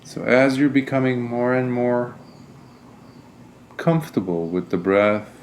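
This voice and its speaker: A man leading a guided meditation as a teacher, speaking in a gentle, deliberate, soothing manner. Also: mid-range voice, slight background noise.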